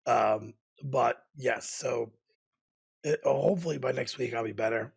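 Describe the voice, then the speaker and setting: A man co-hosts a casual gaming podcast while hoarse from a cold. His voice is gravelly and raspy, and he stumbles through halting restarts. He is recorded close on a desk condenser mic. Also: medium pitch. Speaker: podcast co-host.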